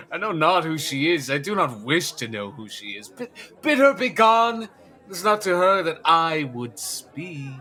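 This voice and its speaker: An actor playing a fanatical prophet raging against sin, declaiming in an oratorical classical audio drama style. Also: male voice, fairly high pitch, very animated, unhurried, pushed and bright, full character voice. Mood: scornful. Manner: ranting, oratorical, archaic solemn delivery.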